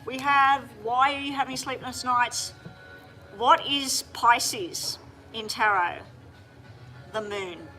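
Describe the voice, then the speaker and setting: A woman doing a live-streamed tarot reading, talking straight to viewers in a chatty, hurried way. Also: fairly high pitch, sharp tone, soft background music. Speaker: tarot reader.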